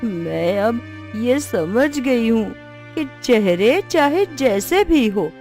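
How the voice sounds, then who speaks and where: Woman playing a high-voiced, sorrowful village woman. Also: big pitch swings, slow, a little mellow, faint music behind, heavily acted. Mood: remorseful. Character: village women of a moral tale, sorrowful and self-blaming. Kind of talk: Hindi cartoon story voice acting.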